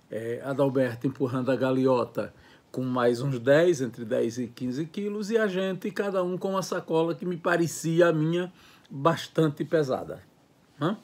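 A man in his sixties from Brazil, giving a reminiscing lecture from his home study, talking with lively hand gestures. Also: mid-range voice. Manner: lively, gestures with hands, listing words in quick runs. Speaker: educator. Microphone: earphone mic, webcam framing.

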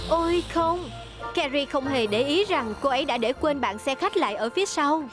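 High-voiced woman narrating a cartoon. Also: animated, moderate pace. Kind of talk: scripted children's narration. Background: cartoon music.

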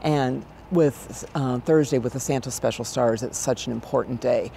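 A woman in her sixties with an American accent and a low, gravelly voice, answering conversationally as an interview guest. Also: normal speed, warm tone, slight background noise. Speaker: event director, interview guest. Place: large exhibition hall, indoors. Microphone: lapel mic.

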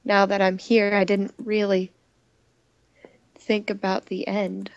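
Slow-paced woman reading a game aloud. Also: mid-range voice, monotone, no room sound, muffled recording. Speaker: let's player reading a visual novel aloud. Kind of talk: dramatic game narration with casual commentary.

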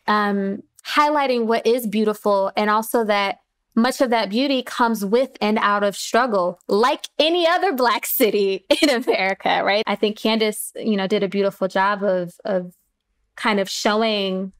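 Slow, clear-voiced woman. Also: mid-range voice. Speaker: playwright guest. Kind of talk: reflective panel discussion.

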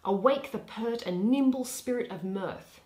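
A woman voicing a stately, commanding duke in Shakespearean verse, with a measured, declamatory delivery. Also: on the high side, dark tone, echoey room, close to an everyday voice. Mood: commanding, grand. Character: stately duke, eager bridegroom and stern judge.

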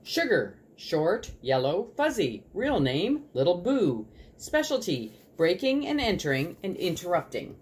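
A woman voicing a retired rescue dog narrating a storybook, weary and wry, with deadpan, clipped delivery. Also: lowish voice, a little slow, hardly any pauses, voice pushed up. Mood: clipped roll call.

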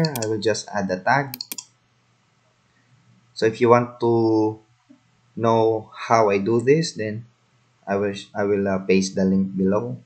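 A man giving slow step-by-step instructions in a screen-recorded software tutorial, with fillers as he clicks along. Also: on the low side.

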